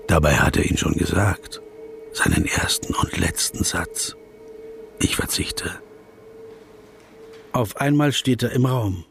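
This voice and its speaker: An actor playing a deferential, anxious interviewer in a radio drama, narrating his thoughts hesitantly and politely. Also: low-pitched. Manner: hesitant, polite, drops into hushed asides.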